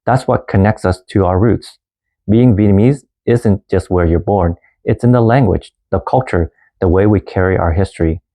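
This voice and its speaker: A man in his thirties who grew up in Canada, narrating a personal story as a language teacher in a calm, earnest way and mixing two languages. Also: low voice, normal speed. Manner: calm, earnest storytelling, switching between Vietnamese and English. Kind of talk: scripted lesson narration.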